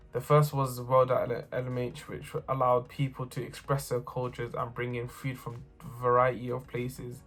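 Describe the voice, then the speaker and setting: Young man, student, steady monotone testimony, faint music under it. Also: mid-range voice. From North London. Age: young adult. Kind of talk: personal testimony to camera.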